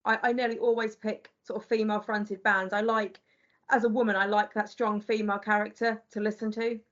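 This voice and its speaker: A woman's voice: mid-range voice, little pitch movement, a little fast. A woman interviewing a young singer in a casual chat, with many fillers like "sort of". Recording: dull lo-fi audio.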